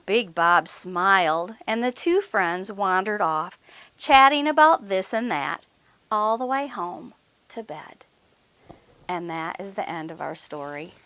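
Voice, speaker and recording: A woman's voice: medium pitch, slow pace. A woman hosting a story time, reading and talking expressively to young children and often asking them questions. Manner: animated, asks the children questions. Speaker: library story time host.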